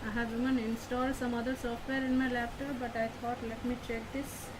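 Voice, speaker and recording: A woman teaching an online class from her laptop, her voice sitting a little high. She repeats points and keeps asking whether her students can hear her. A clear fan hum runs under the whole home recording. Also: flat delivery, a little fast. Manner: repetitive, checks on listeners often. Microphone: laptop mic. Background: fan noise.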